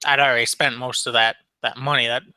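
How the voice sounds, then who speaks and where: A man's voice: mid-range voice, level tone, quick pace, sharp tone, no echo. A man chatting casually as a podcast co-host, rambling along with frequent ums and restarts. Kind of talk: casual chat about firearms.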